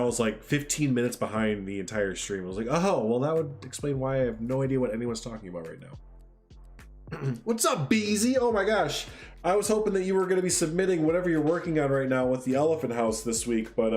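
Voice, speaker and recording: A man hosting a casual livestream, stuffed up from a sinus cold, chatting in a friendly, rambling way and reading out chat. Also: medium pitch. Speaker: livestream host.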